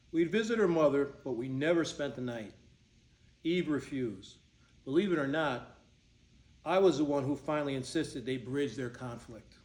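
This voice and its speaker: A man reading the part of a devoted, gently wry older groom in a staged play. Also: mid-range voice, natural voice. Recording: roomy, echoing live room recording.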